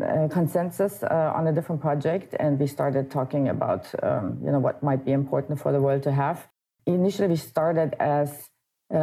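A woman in her thirties from Germany, answering interview questions in a measured way with frequent pauses. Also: deep voice, dark tone. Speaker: crypto wallet co-founder, guest.

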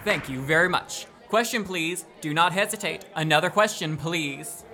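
A man's voice: on the high side, moderate pace, bright, forceful tone, some chatter behind. A young man playing a showy, eager music-hall master of ceremonies in a radio play, grandiose and declamatory. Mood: polite, pressing. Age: young adult. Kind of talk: radio play stage announcing.

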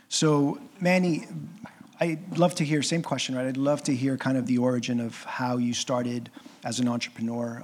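Husky-voiced male panel moderator. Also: mid-range voice, darkish tone. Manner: enthusiastic, filler-heavy, encouraging.

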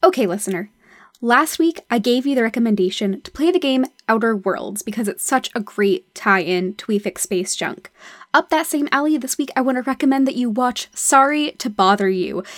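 A woman's voice: a little high, no echo, studio quality. A woman hosting a podcast segment, speaking straight to the listener in a playful, emphatic way. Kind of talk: scripted recommendation addressed to the listener.

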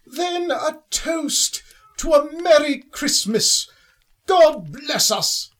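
An actor playing a gentle, proud father and husband in a radio play, earnest and a little ceremonious. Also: very high voice, animated, very slow, very noisy, exaggerated voice. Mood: celebratory.

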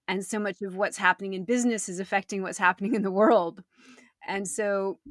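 A woman's voice: medium pitch, a bit bright, no echo, studio quality. A woman hosting an interview, asking friendly, thoughtful questions. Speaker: podcast host. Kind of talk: conversational interviewing.

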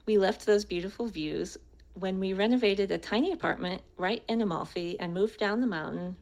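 A woman's voice: medium pitch, moderate pace, a little mellow, low-quality recording. An American woman telling her personal story as a speaker, in a prepared but conversational way. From United States.

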